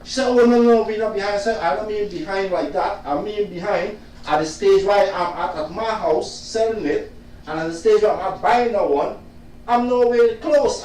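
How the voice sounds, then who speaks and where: A man with a fairly high voice talks loudly and insistently, going back to the same complaints again and again. He is a little away from the mic in a very echoey room with faint noise behind him. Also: far from the mic. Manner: loud, insistent and repetitive. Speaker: client complaining about his solicitor. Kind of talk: casual venting monologue.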